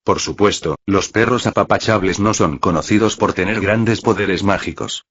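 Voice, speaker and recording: Un hombre de voz ronca que lee una historia como narrador de audiolibro, con texto preparado. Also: male voice, on the low side, normal speed, fairly bright tone, breathy edge. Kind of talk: scripted story narration. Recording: muffled, roomy, lo-fi audio.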